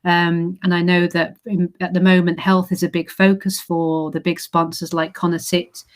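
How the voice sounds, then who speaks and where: Woman, English accent, slightly low voice, friendly explanatory presentation, filler-heavy, video call. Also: little pitch movement, moderate pace. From England. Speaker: university recruitment manager. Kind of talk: informal presentation to prospective students.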